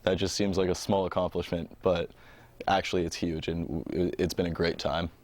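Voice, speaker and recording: Deep-voiced man reflecting. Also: level tone, normal speed, a little hiss or hum. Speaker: show crew member. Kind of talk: casual reflective talk.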